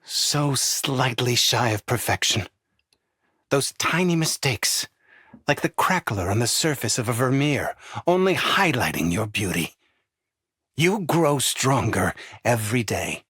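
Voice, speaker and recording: A man playing a grandiose, condescending overseer of a sinister facility in a video game, with theatrical, measured, patronizing delivery. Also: mid-range voice, very animated, sharp tone, slightly rough, no echo, studio quality, heavily acted. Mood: admiring. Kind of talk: scripted video game villain voice lines.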